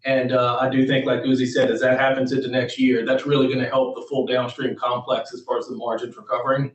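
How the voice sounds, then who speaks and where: A man, a company executive, explaining business points in a measured way during an investor interview. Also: mid-range voice, flat delivery, dull audio. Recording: distant echoey call audio.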